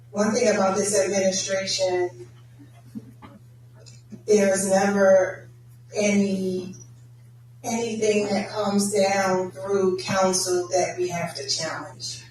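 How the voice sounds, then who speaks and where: A woman who serves on city council, answering forum questions in a measured way. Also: mid-range voice, a little mellow, slight background noise. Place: public meeting hall. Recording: echoey hall, distant mic.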